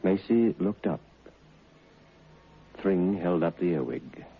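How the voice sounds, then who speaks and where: Low-voiced male radio storyteller. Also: slow. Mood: grave, suspenseful. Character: man recounting a macabre colonial tale over whiskey. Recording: muffled vintage radio drama recording.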